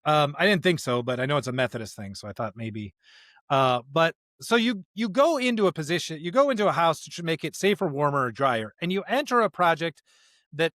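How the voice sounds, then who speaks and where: A man's voice: on the high side, a bit bright, no echo, studio quality. A man co-hosting a religious podcast in an earnest, emphatic conversational way, often checking for agreement. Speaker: podcast co-host.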